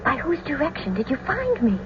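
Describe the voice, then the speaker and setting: Young lovesick noble girl, high pleading voice, old radio drama, muffled recording. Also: wildly swooping pitch, brisk, noisy background. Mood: tender, anxious. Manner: romantic, pleading, poetic delivery. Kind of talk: classical stage-style radio drama acting.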